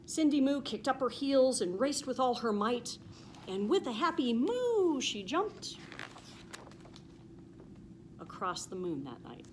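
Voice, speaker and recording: An American woman reads a rhyming picture book for children. Her pitch swings widely and expressively, with an emphatic, sing-song lilt. There is light background noise behind her voice. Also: sing-song, slow pace, pauses often. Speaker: police officer reading a children's story. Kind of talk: expressive children's storybook read-aloud.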